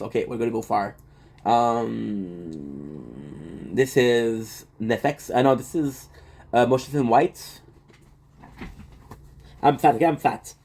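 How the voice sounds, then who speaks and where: A man's voice: medium pitch, darkish tone. Guy livestreaming from home, rambles, restarts a lot, talks to chat. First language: French. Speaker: livestream host.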